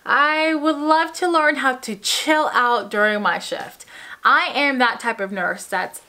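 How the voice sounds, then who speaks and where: A young woman nurse from Puerto Rico, chatting casually to camera in a quick, bubbly way with frequent fillers. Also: sits high, sharp tone. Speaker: ICU nurse vlogger.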